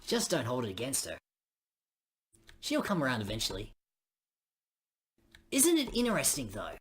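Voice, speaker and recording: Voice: mid-range voice, huge pitch swings, normal speed, husky. A woman voicing a chatty, blokey young friend in a visual novel, teasing and full of Australian slang.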